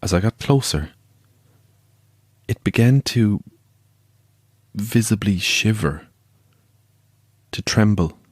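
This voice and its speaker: An actor playing a haunted believer in the paranormal, telling a chilling tale in a deliberate, halting way for an audio drama. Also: male voice, lowish voice, animated, husky, no room sound, studio quality, exaggerated voice. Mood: haunted, hushed. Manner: deliberate, halting, suspenseful storytelling.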